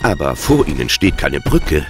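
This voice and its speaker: A man's voice: rumbling low, sing-song, moderate pace, over music. A man narrating a children's audio drama as a scripted storyteller.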